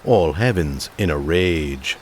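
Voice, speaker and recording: An actor playing a grandiose old-time radio serial announcer, narrating with melodramatic suspense. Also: male voice, extremely low pitch, animated, slow, heavily acted. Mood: portentous. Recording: loud music under the voice.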